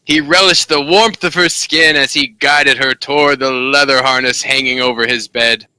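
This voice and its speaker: A man's voice: medium pitch, steady tone, normal speed, sharp tone, clean tone, no echo. A man reading aloud as an amateur, in dramatic romance novel narration. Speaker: amateur reader.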